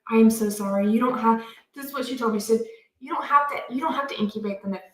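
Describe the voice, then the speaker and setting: A talkative woman, chatting excitedly as a livestream co-host. Her voice sits a little high and sounds set back from the mic. The room is echoey. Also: fast. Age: 30s. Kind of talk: casual chat on a homestead livestream. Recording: roomy, from a distance off the mic.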